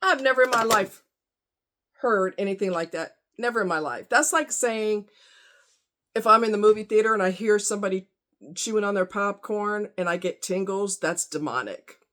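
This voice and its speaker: A woman's voice: mid-range voice, moderate pace, fairly bright tone. A woman vlogger chatting casually to her audience in a rambling, conversational way with frequent asides. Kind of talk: casual chat to camera.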